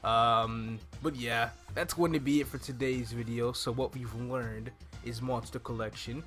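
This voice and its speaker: A young adult man hosts a casual gaming walkthrough at an average male pitch. He thinks aloud in an easygoing way, close on a desk mic. Game music plays along behind his voice. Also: steady tone, unhurried, a bit bright. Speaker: gaming video host. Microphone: desk microphone close to the mouth.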